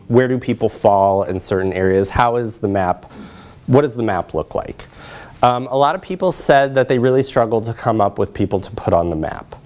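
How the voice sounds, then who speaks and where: A middle-aged man presenting research in a lecture room, explaining in a measured way with frequent pauses. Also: medium pitch, smooth. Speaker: presenter, social work researcher. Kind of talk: academic lecture with slides.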